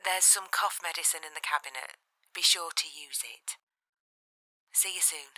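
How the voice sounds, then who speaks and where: Bright, low-pitched, caring middle-aged wife played by an actress. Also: female voice, normal speed, dry recording. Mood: caring, insistent. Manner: affectionate, concerned, insistent. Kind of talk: naturalistic audio drama acting.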